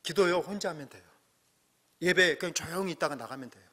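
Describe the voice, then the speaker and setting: A middle-aged man preaching a sermon as pastor, earnest, swelling to emphatic peaks and dropping to soft asides. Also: on the high side, fast. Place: church sanctuary. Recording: long hall reverb.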